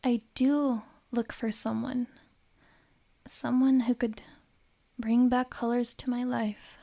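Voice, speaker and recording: A woman playing a possessive, lovesick yandere in an ASMR roleplay, with soft, whispery, formally phrased speech and a wounded air. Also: a little high, little pitch movement, takes it slowly, vocal fry, full character voice. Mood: wistful.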